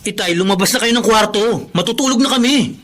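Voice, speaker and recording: An actor playing a selfish, menacing young boyfriend in a radio drama. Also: male voice, sits high, quick pace, over loud music, close to an everyday voice. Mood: casual.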